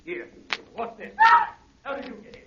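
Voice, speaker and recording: Gang lookout, 1930s radio play: man as rough, agitated crook, off-mic, crackly muffled recording. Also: normal speed, roomy sound, distant mic, lightly acted. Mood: alarmed. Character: alarmed rough male lookout catching an intruder.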